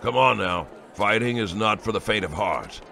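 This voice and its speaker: Gravelly, low-voiced veteran soldier father. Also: expressive, normal speed, sharp tone, slight background noise, exaggerated voice. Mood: gruff coaxing. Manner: commanding, blunt, coaching. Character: gruff older soldier father, stern but loving husband. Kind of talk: audiobook drama acting.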